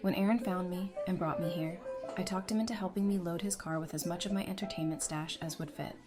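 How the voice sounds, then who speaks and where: A woman's voice: lowish voice, fast talker, over music, close to an everyday voice. A woman playing a friendly, easygoing survivor in an audio drama, chatty, informal and reassuring. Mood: upbeat casual. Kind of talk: fan audio drama acting, casual dialogue.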